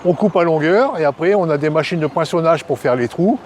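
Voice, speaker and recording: Guy boss being interviewed about his company, voice a bit high, matter-of-fact, some background noise. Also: animated, fairly quick, a little mellow. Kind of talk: interview, explaining the business.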